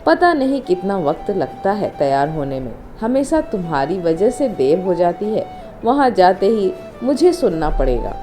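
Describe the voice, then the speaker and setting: A woman's voice: fairly low pitch, sing-song, moderate pace, mellow, soft background music. A woman narrator voicing an irritated young husband who complains impatiently to his wife in an audio story.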